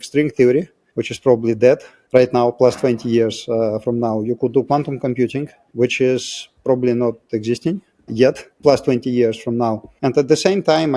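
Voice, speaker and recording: A man's voice: medium pitch, mellow. A middle-aged man with a Russian accent, explaining things as an interview guest in a reflective, discursive way. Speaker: interview guest, physicist turned biotech scientist.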